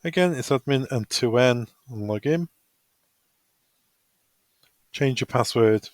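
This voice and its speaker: A man's voice: medium pitch, slow pace, no echo. A man presenting a step-by-step technical walkthrough in an informal, practical, instructional way. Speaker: product tutorial presenter. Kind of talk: step-by-step software walkthrough, semi-improvised.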